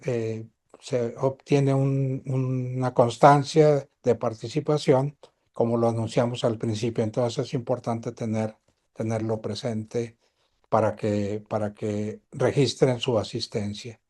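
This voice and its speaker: A man's voice: medium pitch, steady tone, slow pace, mellow. A man moderating a webinar, making announcements and introducing the speaker, with many hesitant fillers.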